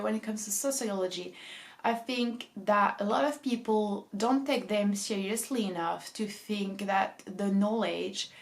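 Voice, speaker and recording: A young woman coming down with a cold, talking casually to camera as a book vlogger, reflective and hedging her points. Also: mid-range voice. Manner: reflective, hedges often with "I feel like" and "um".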